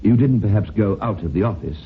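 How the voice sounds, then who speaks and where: Man playing deep-voiced courteous doctor-sleuth, tinny recording. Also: expressive, lightly acted. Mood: skeptical. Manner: measured, polite, skeptical. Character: measured, courteous, doubting male doctor-sleuth. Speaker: actor in a radio mystery. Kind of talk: old-time radio drama acting. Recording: muffled phone-like old radio, noisy.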